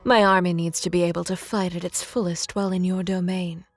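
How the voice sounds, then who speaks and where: A woman playing a mysterious, calmly commanding goddess, measured and formal, in an audio drama. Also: lowish voice, dry recording, natural voice. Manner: measured, formal, self-assured. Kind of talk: audio drama character acting. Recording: music under the voice.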